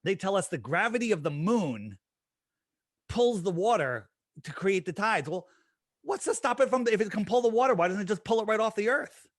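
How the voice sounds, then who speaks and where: A man with a somewhat high voice argues with insistent energy. He piles up rhetorical questions and comes down hard on his points. The sound is dry and close. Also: animated, normal speed, no echo. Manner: emphatic, fires rhetorical questions. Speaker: interview guest. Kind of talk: conversational interview.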